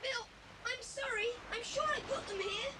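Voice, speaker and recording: Eager British boy, acted by a woman, very high voice, expressive melody, children's radio-style drama, hissy cassette. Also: moderate pace. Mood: flustered. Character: eager young boy on an island adventure. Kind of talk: 1980s children's adventure audio drama acting. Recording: hissy 1980s cassette recording.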